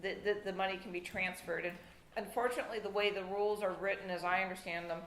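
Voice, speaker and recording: Bright-voiced woman panelist, echoey hall. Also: mid-range voice, steady tone, slight background noise. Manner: measured, explanatory. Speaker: financial panelist. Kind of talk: unscripted panel discussion. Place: event hall with audience. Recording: echoey room.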